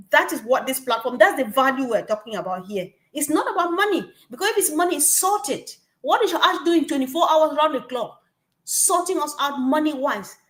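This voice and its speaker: A high-voiced woman speaks with animated passion to a gathering, circling back over her points. Her voice rings around a reverberant room. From West Africa. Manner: animated, repetitive, laughing asides. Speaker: guest speaker. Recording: echoey room.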